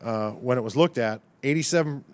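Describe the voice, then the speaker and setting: A man's voice: mid-range voice, a little fast, darkish tone, clear, resonant tone. A man, a congressman, questioning a witness at a hearing in a pointed, combative way, stacking up numbers. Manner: pointed, combative, piles up figures. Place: hearing room.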